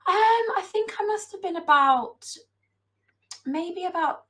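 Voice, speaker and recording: A young woman, a nutritional therapist, talking on a video call with a hoarse, gravelly voice from a cold, chatty with many fillers. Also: very high-pitched, animated, slow, a bit bright. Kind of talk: casual video call interview.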